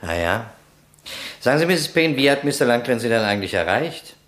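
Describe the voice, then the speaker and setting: An actor playing a calm, probing police investigator in a radio crime drama, polite and soothing in manner. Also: male voice, mid-range voice, a little fast.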